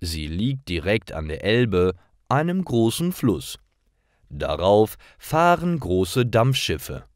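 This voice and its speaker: Slow, low-voiced man narrating. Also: big pitch swings, dry recording, clean studio sound. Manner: clear, deliberate storytelling for learners. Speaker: narrator of German learning stories. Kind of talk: scripted story narration for language learners.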